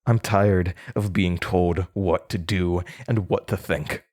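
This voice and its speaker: A man's voice: deep voice, steady tone, slow pace, a little mellow. A young man in a horror audio drama playing a weary, defiant college student haunted by his past, candid and dryly resigned. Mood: accusing, firm. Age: young adult.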